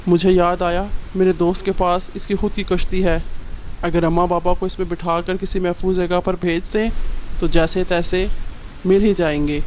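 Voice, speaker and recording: Male actor as cartoon bird husband, high pitch, hot-tempered, emphatic, faintly noisy recording. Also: level tone, moderate pace, darkish tone, close to an everyday voice. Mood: urgent. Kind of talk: Hindi cartoon story dialogue.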